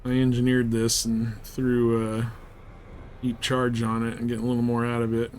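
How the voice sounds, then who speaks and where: A man's voice: medium pitch, completely flat delivery, moderate pace, a little mellow, a little hiss or hum. A man chatting casually and in a rambling, laid-back way as he streams a game. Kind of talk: casual chat while playing.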